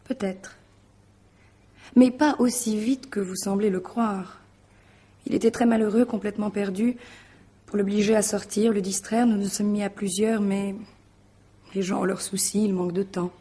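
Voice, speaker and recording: An actress playing a polite, guarded mistress in a French radio mystery drama, hesitant and questioning. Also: female voice, medium pitch, steady tone, normal speed, long pauses, warm tone, breathy edge, lightly acted. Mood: confiding.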